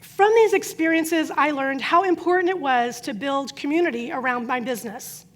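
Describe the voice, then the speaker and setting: High-pitched middle-aged woman, croatian first language, earnest story talk w/ dramatic pauses. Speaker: speaker. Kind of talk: prepared inspirational talk.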